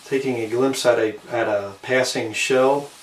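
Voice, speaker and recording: A man giving a casual show-and-tell narration, unhurried and halting, often trailing off between short phrases. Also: medium pitch, steady tone. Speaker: amateur video maker showing a collectible. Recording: roomy, distant mic with faint background noise.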